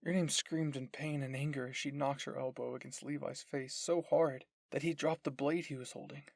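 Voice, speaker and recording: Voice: medium pitch, steady tone, moderate pace, gravelly, dry recording. Dry, close dramatic story narrator. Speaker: narrator and voice of all characters.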